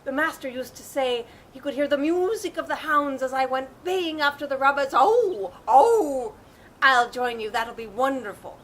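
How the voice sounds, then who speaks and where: A woman voicing an aging male hound in a storybook tale, sighing and slow-spoken. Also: on the high side, a bit bright, slight background noise, close to an everyday voice. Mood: excited. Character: aging male hound, weary then eager.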